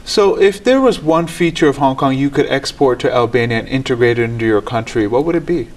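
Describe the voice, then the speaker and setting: Host: man, mid-pitched voice, measured and formal, studio interview. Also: lively intonation. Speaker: talk show host.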